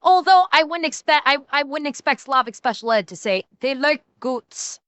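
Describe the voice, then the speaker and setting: A woman's voice: sits high, expressive, normal speed, a bit bright, no echo. A woman streamer giving crude, profane, laughing reaction commentary.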